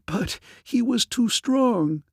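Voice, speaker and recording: A man voicing a weak, plaintive captive woman, pitched up. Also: lively intonation, unhurried, creaky, warm tone, husky, dry recording, studio quality, exaggerated voice. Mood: anguished. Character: weakened, frightened captive young woman of fantasy.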